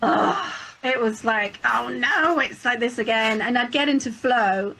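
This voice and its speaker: A woman voice actor answering panel questions in casual chat, laughing often and telling animated stories. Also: fairly high pitch, unhurried, low-quality recording. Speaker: voice actor guest.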